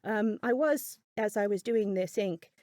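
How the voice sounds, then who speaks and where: A woman's voice: mid-range voice, measured pace, dark tone, no room sound, clean studio sound. A woman giving a casual craft tutorial voiceover, chatty and thinking aloud as she goes. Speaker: craft channel host.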